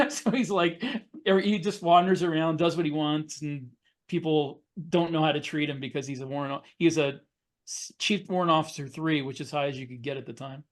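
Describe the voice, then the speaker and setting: A man's voice: on the high side, normal speed. A man on a podcast panel, chatting casually and explaining things with firm opinions. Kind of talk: casual discussion.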